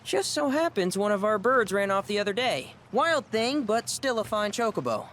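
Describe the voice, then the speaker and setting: A cocky young ranch boy in a video game, with a Southern American twang. He haggles in a folksy, businesslike way, his pitch bouncing around. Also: very animated, normal speed, fairly bright tone, slight background noise. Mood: wry, bargaining. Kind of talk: video game cutscene acting.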